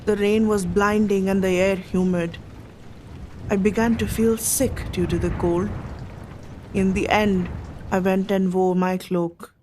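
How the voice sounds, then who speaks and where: A woman's voice: mid-range voice, fairly even intonation, a little slow, dark tone, a little hiss or hum, natural voice. An actress playing a plaintive, suffering Indian lady traveller of the 1900s, telling her account dramatically in a radio play, with rain effects. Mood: miserable. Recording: rain sound effects over the voice.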